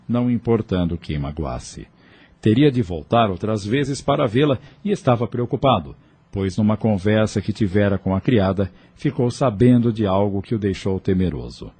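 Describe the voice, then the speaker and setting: A male narrator reading an audio drama. His voice is low and mellow with a husky grain. He tells the story in a measured, steady way. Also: no echo.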